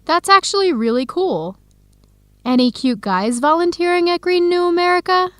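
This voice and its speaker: A woman's voice: very high-pitched, lively intonation, normal speed, no room sound, clean studio sound. An actress playing a curious, friendly young woman researcher in an educational radio drama. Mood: chatty.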